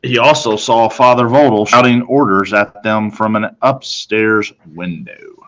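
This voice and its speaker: A man reading a children's novel aloud expressively, with dramatic, deliberate phrasing. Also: fairly low pitch, fairly even intonation, a bit bright, rough recording. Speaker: story reader, teacher.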